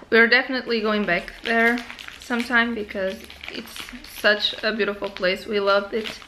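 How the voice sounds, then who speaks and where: A woman's voice: fairly high pitch, level tone, moderate pace, background noise. A young woman with a Portuguese accent, vlogging casually to a handheld camera from a hotel room and her living room, chatty and easily sidetracked. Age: young adult. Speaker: travel vlogger. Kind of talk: casual vlog chat. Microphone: handheld camera at arm's length.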